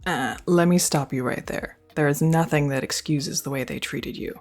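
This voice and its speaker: A woman's voice: low-pitched, moderate pace, a little mellow, soft background music. A young woman performing a scripted girlfriend roleplay, speaking close to the mic in an affectionate, teasing and reassuring way.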